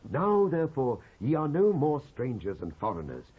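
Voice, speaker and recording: A British man giving a solemn, declamatory dramatised scripture reading in measured phrases with pauses. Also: mid-range voice, huge pitch swings, husky. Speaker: Bible reader, actor. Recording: dull lo-fi cassette transfer.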